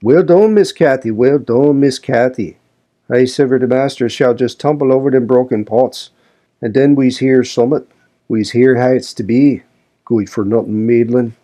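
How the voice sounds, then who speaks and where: A man's voice: medium pitch, moderate pace, mellow, lightly acted. A man voicing a grumbling, pious old manservant who scolds in a broad rustic dialect. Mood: scolding. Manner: scolding, preachy.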